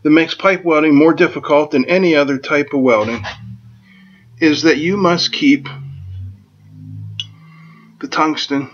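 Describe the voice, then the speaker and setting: Middle-aged male shop host, folksy how-to delivery, a bit high-pitched, dull camera-mic sound. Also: unhurried, pauses often, a little hiss or hum. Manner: plainspoken and folksy, jokes at his own expense. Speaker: welding instructor and host. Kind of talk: casual how-to demonstration. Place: home welding workshop, indoors.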